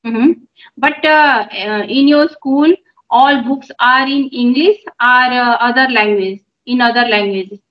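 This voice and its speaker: High-voiced woman on a dull call line. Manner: measured, encouraging, with filler pauses. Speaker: YouTube channel host and mother. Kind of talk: English conversation practice on a video call. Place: room at home. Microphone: phone on a video call.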